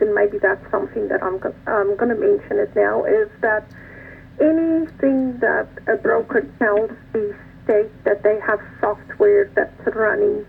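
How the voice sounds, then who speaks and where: Creaky-voiced woman on a tinny phone line. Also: mid-range voice, slight background noise. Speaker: transport provider on a conference call. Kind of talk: questions and comments on a conference call. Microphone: telephone conference line.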